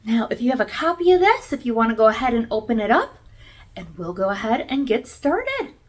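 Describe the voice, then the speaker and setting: A woman hosting a read-aloud for young children, telling a picture book in an expressive storytelling style with deliberate phrasing. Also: fairly high pitch, a little hiss or hum. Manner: addresses young listeners directly, clear deliberate phrasing.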